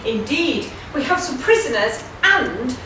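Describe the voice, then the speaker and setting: A woman playing an excitable young male outlaw hero as a pantomime principal boy. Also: high-pitched, measured pace, full character voice. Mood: excited. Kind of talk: broad pantomime stage acting. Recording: echoey hall, distant, crowd and noise.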